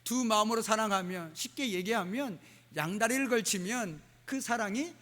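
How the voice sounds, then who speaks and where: A male pastor preaches to his congregation in a fairly high voice, pausing often with "uh" and slipping in dialect phrases. The sound carries a long church-hall reverb. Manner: warm preaching with dialect phrases and frequent "uh" fillers. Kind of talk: sermon. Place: church sanctuary.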